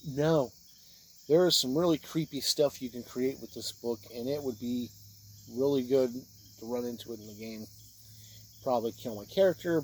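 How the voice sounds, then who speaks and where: A man giving an informal spoken review of role-playing books, plain-spoken and halting, speaking in short phrases. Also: mid-range voice, slight background noise.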